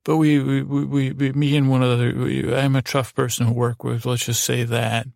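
Gravelly, halting American man, rambling along. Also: mid-range voice, little pitch movement, a little mellow, dry recording, clean studio sound. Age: middle-aged. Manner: halting, meandering, self-deprecating. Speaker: podcast host. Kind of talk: rambling personal storytelling.

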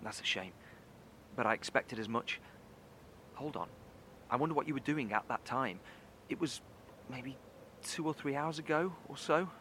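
A man's voice: medium pitch, moderate pace, long pauses, pushed and bright, a little hiss or hum, close to an everyday voice. An actor playing an inquisitive, earnest man in a horror audio drama, probing and analytical as he speaks. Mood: pensive, probing. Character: inquisitive, earnest man piecing a mystery together.

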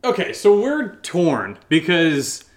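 A man's voice: on the high side, wildly swooping pitch, slow. A man co-hosting a podcast in a casual, unscripted chat, informal and hesitant, peppering sentences with "like". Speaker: podcast co-host.

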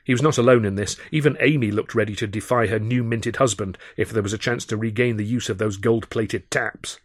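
A man reading a first-person audiobook in a measured, expressive and wry storytelling manner, close on the microphone. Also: lowish voice, dry recording. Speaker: author reading his own novel.

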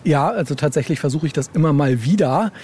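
A man's voice: a little high, lively intonation, fast talker, a little mellow, a little hiss or hum. A man speaking as a novelist in a casual podcast interview, explaining in a rambling way with frequent tag questions. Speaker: novel author being interviewed.

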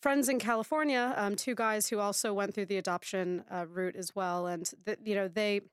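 An American woman hosts a casual podcast, friendly and full of questions. Her voice comes through smooth and clear in a dry, close recording. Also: medium pitch, fairly even intonation, normal speed, no echo. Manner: friendly, asks questions, laughs easily. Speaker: podcast host. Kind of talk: casual chat.

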